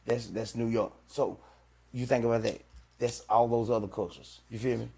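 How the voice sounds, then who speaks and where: A man's voice: mid-range voice, fairly even intonation, moderate pace, creaky, warm tone, husky. A man from Louisiana, a rapper chatting casually on a podcast and tagging "you feel me" onto nearly every line. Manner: laid-back, repeats "you feel me" constantly. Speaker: rapper guest.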